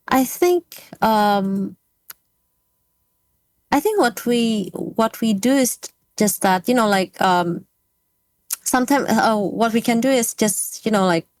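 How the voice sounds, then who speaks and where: A woman from Indonesia with an Indonesian accent, an interview guest speaking English as a second language, pausing often with fillers as she searches for words. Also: mid-range voice, no room sound. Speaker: guest, game industry speaker. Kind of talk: casual interview talk.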